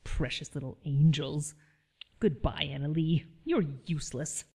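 A woman's voice: sits low, measured pace, gravelly, dark tone, slightly rough, exaggerated voice. A woman playing a curt, demanding, sour small-town woman in an audio drama.

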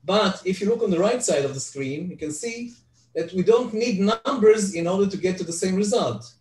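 An older Hebrew-speaking man, giving an online spreadsheet lecture from home over a headset, halting with frequent restarts. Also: high voice, animated, a little mellow. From Israel. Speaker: guest presenter. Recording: echoey, distant video call audio.

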